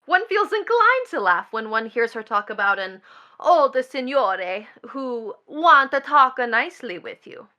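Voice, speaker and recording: A woman's voice: on the high side, very animated, measured pace, bright, forceful tone, heavily acted. An actress playing a defiant, scornful young woman in a stage play, imperious, mocking and melodramatic. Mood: bitterly mocking. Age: young adult.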